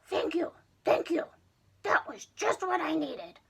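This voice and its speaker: Woman as a gushing, high-voiced gentle monster. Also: slow, exaggerated voice. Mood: grateful. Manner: gushing, plaintive, polite. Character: gentle, grateful hungry giant monster finally speaking. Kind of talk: children's storybook read-aloud.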